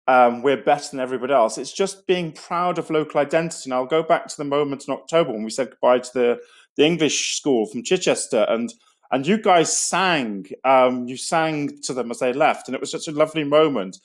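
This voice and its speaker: A man's voice: medium pitch, brisk. A middle-aged man, a school leader, giving an enthusiastic, digressive online assembly talk to students.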